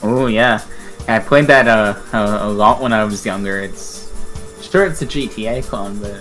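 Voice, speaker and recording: A man with a slightly low voice talks casually as a podcast co-host about video games. Quiet music runs underneath. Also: moderate pace. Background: background music.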